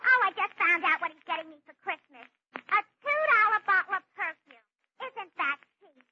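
Shrill, petulant New gal. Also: female voice, expressive, moderate pace. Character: man-hungry, dim, complaining young tavern woman. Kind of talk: old-time radio comedy acting. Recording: muffled, very noisy old radio recording.

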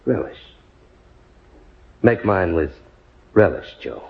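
Deep-voiced radio-drama husband. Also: male voice, big pitch swings, takes it slowly, creaky, heavily acted. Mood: cold, insistent. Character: husband menacing his frightened wife in her nightmare. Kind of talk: mid-20th-century radio drama acting. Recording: dull, lo-fi old radio recording.

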